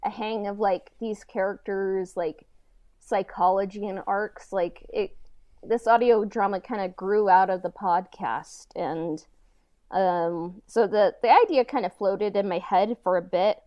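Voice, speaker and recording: A woman co-hosting a podcast in casual, halting chat peppered with filler words like "like" and "kinda". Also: medium pitch, no room sound, lo-fi sound. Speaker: podcast co-host and audio drama writer.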